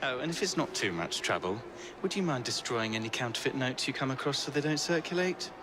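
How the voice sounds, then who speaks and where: A man's voice: medium pitch, expressive, fairly quick, lightly acted. A man voicing a fretful statesman. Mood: concerned. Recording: game cutscene with heavy street noise.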